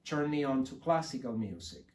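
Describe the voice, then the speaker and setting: A man from Argentina with an Argentine accent and Spanish as his first language tells anecdotes as an interview guest, halting and repeating words, often checking with "right?". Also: mid-range voice, animated. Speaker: interview guest, composer and sound engineer. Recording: echoey room with faint background noise.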